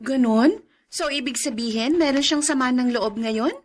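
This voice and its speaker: An actress playing an inquisitive, excitable visiting friend in a radio drama. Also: female voice, high-pitched, normal speed, close to an everyday voice. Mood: curious.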